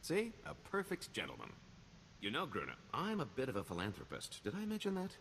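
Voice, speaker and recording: An actor playing a smooth-talking, self-assured con man in a video game, with wry, wheedling salesman patter. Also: mid-range voice, wildly swooping pitch, brisk, a bit bright, slight background noise, full character voice. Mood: glib, ingratiating. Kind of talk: video game dialogue acting.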